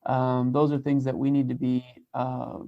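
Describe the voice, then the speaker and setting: A man giving sincere, casual advice as a podcast guest, reflective and measured in how he talks. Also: medium pitch, monotone, vocal fry. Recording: slightly dull, lo-fi audio.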